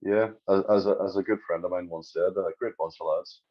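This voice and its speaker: A man's voice: sits low, fairly even intonation, a little fast, lo-fi sound. A man with a Northern Irish accent co-hosting a podcast, chatting casually and emphatically, often repeating words. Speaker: podcast co-host. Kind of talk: casual chat.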